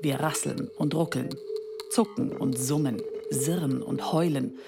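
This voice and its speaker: A woman's voice: extremely low pitch, fairly even intonation, a little slow, vocal fry, music in the background, exaggerated voice. A woman playing a wry, aloof female machine who muses on humanoids in a radio drama. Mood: wry, detached. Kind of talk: scripted radio drama monologue.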